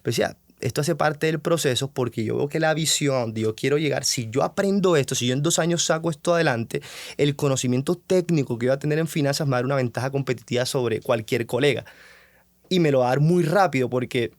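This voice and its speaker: A man's voice: medium pitch, fast talker, no room sound. A young man, hosting a motivational podcast and telling his own story, acting out the questions he once asked himself. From Colombia. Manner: animated, acts out his own past self-questioning. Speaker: podcast host.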